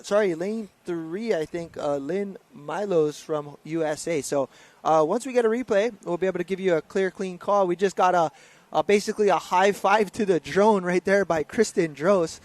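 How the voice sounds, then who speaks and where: Male race commentator, slightly high voice, casual and loose, lots of fillers. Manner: relaxed, loose delivery with many fillers and self-corrections. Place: outdoor race venue broadcast.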